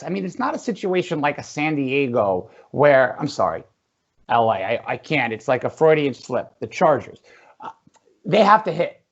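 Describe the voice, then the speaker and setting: Higher-pitched male podcast host, muffled audio. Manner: chummy, joking. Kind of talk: casual sports chat.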